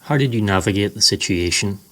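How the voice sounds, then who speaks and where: A man narrating a scripted guided meditation in a slow, soothing, measured way. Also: fairly low pitch, fairly bright tone.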